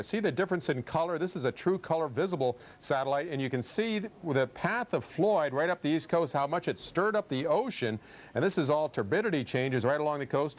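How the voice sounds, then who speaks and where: A man with an American accent giving an ad-libbed, conversational TV weather report, explaining satellite maps with emphasis. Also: on the high side. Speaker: TV weather forecaster.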